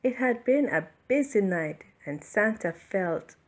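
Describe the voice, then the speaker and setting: Woman reading a bedtime story, slowly. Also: mid-range voice, lively intonation. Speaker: storybook reader. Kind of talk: children's bedtime story narration.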